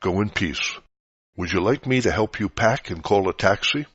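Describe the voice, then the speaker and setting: A man reading a scripted spiritual teaching aloud in a measured, didactic way. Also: on the low side, a bit bright, slightly rough. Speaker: reader of spiritual articles. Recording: dull, roomy lo-fi recording.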